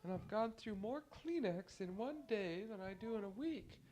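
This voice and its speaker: A woman's voice: medium pitch, animated, moderate pace, mellow, rough recording. A woman with a stuffy, congested nose recording a chatty, rambling spoken letter to family at home on a cassette recorder. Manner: chatty, rambling, musing aloud. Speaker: family member sending a taped letter.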